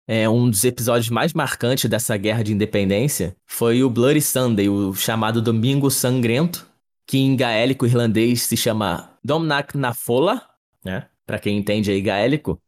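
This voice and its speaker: A young man co-hosting a podcast, explaining sports history in a relaxed, chatty way, often adding little tag questions. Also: mid-range voice, moderate pace. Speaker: podcast co-host. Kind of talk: casual explanatory chat.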